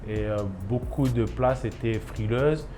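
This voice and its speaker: A man's voice: medium pitch, a little slow, music in the background. A man in his thirties from Ivory Coast, a chef and restaurant owner, speaking in a documentary interview with many hesitations and restarts.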